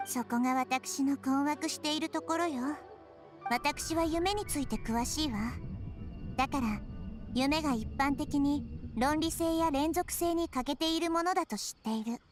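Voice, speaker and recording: A little girl deity from a video game, acted by a woman, very high and quick in speech. She is gentle, wise and composed, speaking thoughtfully over faint music. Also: close to an everyday voice. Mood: calm, thoughtful.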